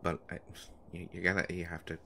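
A deep-voiced young love story lead: an anxious, devoted boyfriend played by a man in an intimate audio roleplay. He speaks haltingly, stumbling over his words as he tries to reassure his pregnant partner. There is clear noise behind the low voice. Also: completely flat delivery, sharp tone, exaggerated voice. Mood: anxious, sheepish. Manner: hesitant, stammering, halting. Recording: noticeable background noise.

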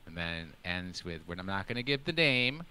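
A man's voice: low-pitched, big pitch swings, moderate pace, bright, forceful tone, slight background noise. A man hosting a casual podcast over a video call, chatting and reading out a listener's email with laughing, self-deprecating asides. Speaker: podcast host.

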